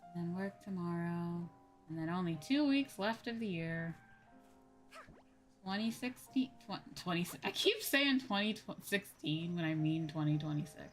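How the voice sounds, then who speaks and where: A woman's voice: on the low side, animated, measured pace, faint music behind. A woman livestreamer chatting casually and informally, thinking aloud as she goes. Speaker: livestreamer and VTuber host.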